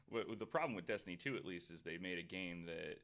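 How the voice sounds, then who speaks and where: A man with a fairly low voice hosts a casual podcast. He talks with many ums and false starts, then reads long stretches of researched notes aloud. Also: a little fast, a bit bright. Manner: hesitant, full of ums and restarts, reads notes at length. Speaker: podcast host.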